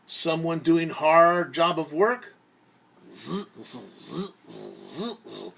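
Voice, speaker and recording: A man telling a story as a teacher to young children, theatrical, pausing to explain words simply and acting out sounds. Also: fairly high pitch, animated, creaky, fairly bright tone, husky.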